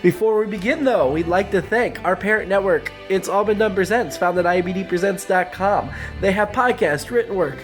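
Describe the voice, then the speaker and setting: A woman's voice: medium pitch, a little fast, few pauses, soft background music. A woman reading a scripted podcast announcement as the host.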